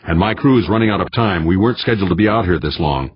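A man voicing an irritated, gruff male crew chief in a space construction story, curt and deep in audiobook dialogue. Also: animated, fast, highs cut off.

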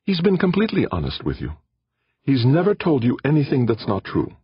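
A man doing an earnest alien woman, raised high. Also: wildly swooping pitch, a little fast, husky, no echo, lo-fi sound, exaggerated voice. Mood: protesting. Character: gentle loving young alien woman.

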